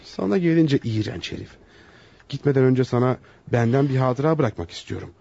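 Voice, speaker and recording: Educated indignant doctor, radio drama, rapid scornful delivery, husky, muffled lo-fi recording. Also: male voice, medium pitch, animated, warm tone, slight background noise. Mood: contemptuous. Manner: scornful, emphatic, formal diction. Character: indignant, wounded male psychologist, educated and lovestruck. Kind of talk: Turkish radio theater acting.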